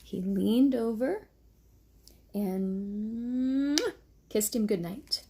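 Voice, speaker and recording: A woman reading a children's bedtime story aloud as a mother, gently, with casual asides to her small child. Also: on the high side, drawn-out words, background noise. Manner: gentle, motherly baby talk.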